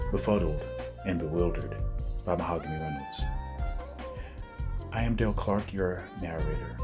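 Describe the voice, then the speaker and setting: Male narrator for a play's closing announcement, low register, prominent music underneath. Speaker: narrator of a dramatic play reading. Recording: loud music bed.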